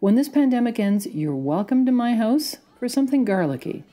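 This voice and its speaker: A woman's voice: a little high, sing-song, moderate pace, dark tone. A middle-aged woman whose first language is Romanian, reading a wry personal story. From Canada. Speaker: listener contributor.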